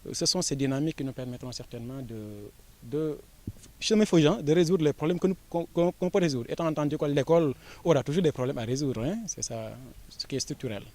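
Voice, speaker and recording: A man with a Senegalese accent, an education official giving a formal, measured interview statement with frequent pauses and fillers. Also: on the high side, darkish tone.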